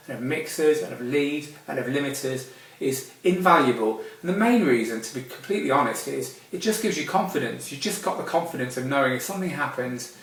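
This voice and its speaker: A man giving an informal, conversational tutorial as a DJ instructor, explaining things in a hands-on way. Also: medium pitch. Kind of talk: informal spoken tutorial with demonstrations. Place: indoor room with strong echo. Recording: very echoey room, distant microphone.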